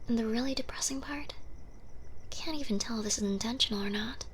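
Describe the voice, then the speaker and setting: A woman playing a seductive, blood-craving modern vampire in an intimate, wry ASMR roleplay, speaking in breathy whispers. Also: a little high, fast talker, a bit bright, slight background noise, lightly acted. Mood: wry exasperation.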